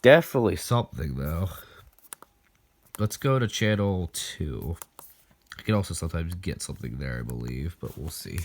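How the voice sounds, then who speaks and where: A man's voice: deep voice, expressive, moderate pace, long pauses. A man casually showing off his weather radio collection, rambling and thinking aloud as he goes. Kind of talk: casual product demo vlog. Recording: noisy recording with clicks.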